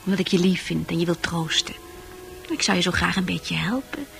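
A woman's voice: fairly low pitch, expressive, a little fast, vocal fry. An actress in a Dutch radio play, playing a worldly, coaxing woman of easy virtue with a chatty, knowing manner. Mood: coaxing, tender. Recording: radio play with music behind.

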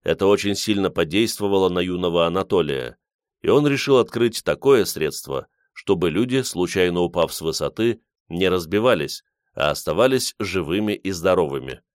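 A man's voice: sits low, lively intonation, moderate pace, no echo, clean studio sound. A man narrating a literary story in the first person, reading from a script as an audiobook narrator.

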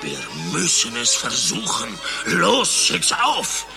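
A man's voice: on the high side, huge pitch swings, slow, creaky, over music, heavily acted. An actor playing a brusque, commanding horseman of the Russian Tsar in a satirical radio play, theatrical in manner. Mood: urgent, commanding. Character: brusque male mounted messenger of the Russian Tsar.

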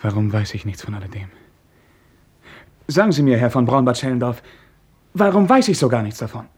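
Young prince for radio play: very breathy, rough-edged, courteous, hesitant, medium pitch. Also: male voice, animated, fairly quick. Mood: troubled. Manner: formal, hesitant, earnest. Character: sheltered, earnest, well-bred young male prince. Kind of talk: German radio drama acting.

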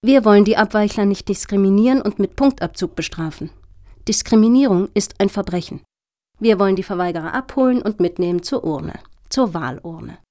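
A woman's voice: medium pitch, lively intonation, fairly quick, mellow, close to an everyday voice. A woman playing a self-righteous middle-aged female activist in a satirical radio play, speaking emphatically and preachily. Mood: preachy, insistent.

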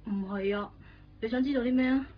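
A woman's voice: high-pitched, quick pace, faint music behind, close to an everyday voice. A woman acting a playful, enigmatic young girlfriend in a Hong Kong Cantonese radio drama, casual and matter-of-fact. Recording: muffled radio drama, faint background noise.

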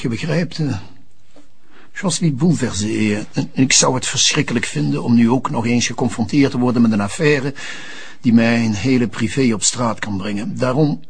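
A man's voice: mid-range voice, normal speed. An actor playing an older Flemish notary who confides and pleads hesitantly, mixing French phrases into his Dutch. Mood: grave, pleading. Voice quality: husky, gravelly. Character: old bourgeois notary, confiding and quietly pleading. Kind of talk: radio or TV drama acting.

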